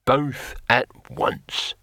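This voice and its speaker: A man's voice: medium pitch, takes it slowly, a bit bright, smooth, no room sound, studio quality. A man voicing a proud, brawny barbarian warrior in a fantasy audiobook, terse and blunt with a growling voice. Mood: defiant, angry. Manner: terse, defiant.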